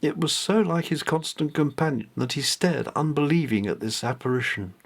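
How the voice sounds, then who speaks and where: A man's voice: a little high, moderate pace, breathy edge. A man narrating his own written story, reading it aloud in a careful, amateur storyteller's manner. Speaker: author reading own novelette.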